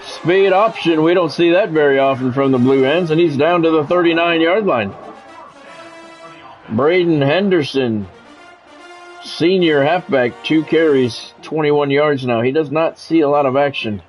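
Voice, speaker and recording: A man's voice: a little high, measured pace. Middle aged guy doing radio style football play by play on a video game, clipped calls, casual asides, music behind him. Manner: clipped announcer phrasing with informal asides. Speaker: gamer and play-by-play commentator.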